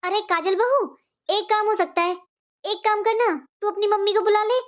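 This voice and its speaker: A high-voiced middle-aged mother-in-law acted by a woman from a cartoon family drama. She is caring but fretful, fussing over everyone and repeating her points insistently. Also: moderate pace. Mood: urging. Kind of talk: animated family drama dialogue.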